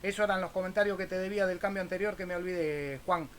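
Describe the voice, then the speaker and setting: A man's voice: high voice, fast talker, a bit bright, a little hiss or hum. A man with an Argentine Spanish accent talking casually as an amateur radio operator. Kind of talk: casual ham radio exchange with spelled-out call signs.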